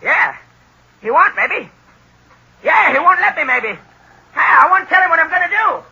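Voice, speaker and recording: An actor playing a childish, slow-witted hunchback who keeps savage dogs, in 1930s radio style. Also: male voice, very high voice, very animated, gravelly, sharp tone, exaggerated voice. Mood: sulky, dim. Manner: slow-witted, repetitive, gleeful. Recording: crackly, muffled 1930s radio recording.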